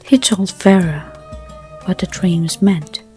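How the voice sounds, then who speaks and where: The woman on a sleep-story track late at night, lowish voice, gently murmuring each phrase very slowly over music. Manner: gentle, soothing, hushed, unhurried phrasing. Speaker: narrator. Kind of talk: slow bedtime story narration. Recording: soft music under the voice. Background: background music.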